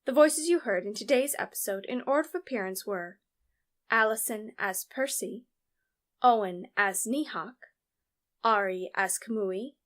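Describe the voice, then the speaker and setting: A woman's voice: mid-range voice, a little slow, fairly bright tone. A woman announcer reading scripted podcast credits.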